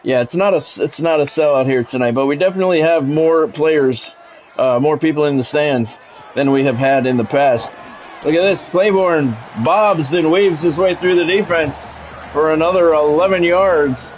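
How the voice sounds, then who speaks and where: A man's voice: fairly high pitch, normal speed, slight background noise, poor audio. A man streaming a sports video game, mixing casual chat to viewers with play-by-play commentary and repeating himself as he goes.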